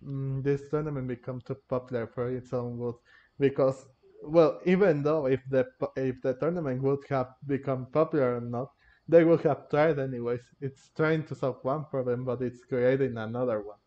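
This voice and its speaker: A man giving casual gameplay commentary, halting and often rephrasing himself. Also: mid-range voice, steady tone. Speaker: gamer commentator. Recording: dull, lo-fi microphone.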